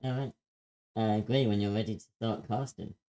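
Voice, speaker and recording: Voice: mid-range voice, fairly even intonation, normal speed, mellow. A participant helping to run an online group session, talking in a casual, practical way.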